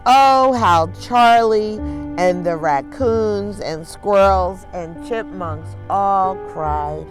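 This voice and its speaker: A woman narrates a children's picture book at an unhurried pace. Her mid-pitched voice is expressive, with measured phrasing and dramatic pauses. Quiet music plays behind her. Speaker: children's storyteller and host.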